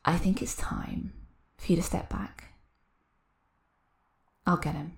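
Woman doing a cold mocking inner-demon voice, low and slow, raspy with some fry. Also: steady tone. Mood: scornful. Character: cold, scornful dark inner self of a woman.

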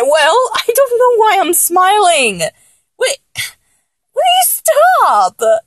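High-pitched, exasperated girlfriend, acted by a woman. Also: no echo, exaggerated voice. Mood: giggly protest. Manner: exasperated, teasing, whiny, with sighs and giggles. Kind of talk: intimate adult audio roleplay, one-sided dialogue.

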